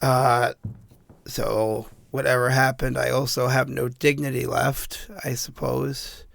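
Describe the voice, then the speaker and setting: A man playing a battered, sardonic man trapped in a haunted house, rambling haltingly as if leaving recorded messages in a horror audio drama. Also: medium pitch, level tone, creaky, a bit bright, no room sound, heavily acted. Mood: dazed, drained. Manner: halting, rambling, self-deprecating.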